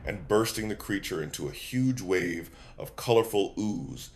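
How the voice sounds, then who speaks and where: A man's voice: lowish voice, lively intonation, a little slow. A man reading scripted, descriptive fantasy narration for an audio drama.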